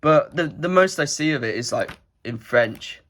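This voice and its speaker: A man's voice: a little high, lively intonation, moderate pace, fairly bright tone. Rambling guy with a cold. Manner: rambling, many "like" fillers. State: nasal from a head cold. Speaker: card collector vlogger. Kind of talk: casual rambling show-and-tell.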